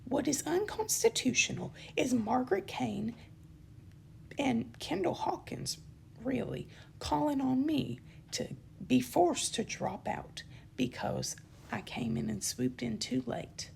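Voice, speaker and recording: A young woman with a dry throat gives an indignant, emphatic campaign statement, often pausing with ums and correcting herself. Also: high-pitched, animated, vocal fry, slight background noise. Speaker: channel host giving a campaign statement. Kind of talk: unscripted spoken statement to camera.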